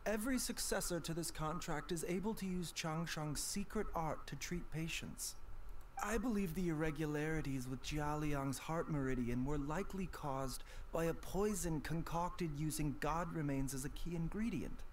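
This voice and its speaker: An actor playing a gentle, secretive young doctor in a video game, speaking politely in measured, formal phrases. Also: male voice, on the high side, slight background noise, close to an everyday voice. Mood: calm, guarded. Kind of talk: scripted video game voice acting.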